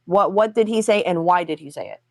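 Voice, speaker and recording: A woman's voice: lowish voice, animated, a little fast, vocal fry, dry recording, clean studio sound. A woman on a livestream talk show, speaking bluntly and pressing hard questions, with frequent swearing.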